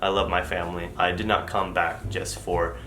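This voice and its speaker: A man's voice: sits low, level tone, moderate pace, pushed and bright. A young man whose first language is Tagalog, with a slight Filipino accent, chatting casually to camera as a vlogger with lots of fillers and restarts. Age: young adult. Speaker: vlogger answering viewer assumptions. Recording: echoey room with music underneath.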